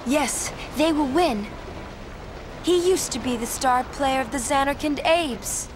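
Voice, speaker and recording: An actress playing a gentle, earnest, hopeful young woman in a video game, sincere and polite with halting phrasing. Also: high-pitched, noisy background. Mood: earnest, insistent. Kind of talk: video game cutscene acting. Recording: music behind the voice.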